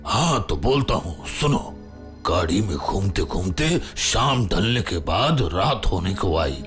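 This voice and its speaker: A man playing an older uncle who recounts his ghostly encounter in measured, suspenseful phrases for a horror audio story. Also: deep voice, very animated, a bit bright, faint music behind, full character voice. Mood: patient, chiding.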